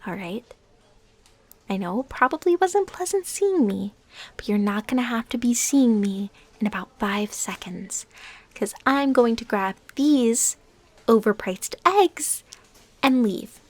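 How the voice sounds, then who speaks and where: A woman playing a lovesick, defensive and unstable former stalker in an intimate audio drama, speaking in a halting, emotionally intense way. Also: on the high side, expressive, a little mellow, no room sound. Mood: apologetic, subdued. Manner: halting, pleading, emotionally intense, drops into whispers.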